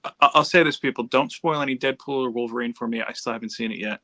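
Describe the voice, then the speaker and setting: A man with a mid-pitched, bright and forceful voice chats as a livestream panelist. He talks casually and tends to ramble into side stories. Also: quick pace, no room sound. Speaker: panelist on a comics discussion stream. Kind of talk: casual chat. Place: remote group livestream.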